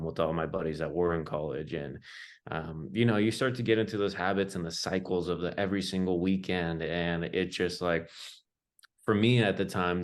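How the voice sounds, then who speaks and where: Young man, low voice, interview guest, casual storytelling, informal phrasing. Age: young adult. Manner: informal, loose phrasing peppered with "like" and "you know".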